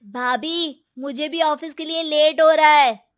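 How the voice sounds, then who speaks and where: A woman's voice: sits high, fairly even intonation, a little slow. A woman voicing a spoiled, selfish younger brother in a story, petulant and dismissive. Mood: irritated.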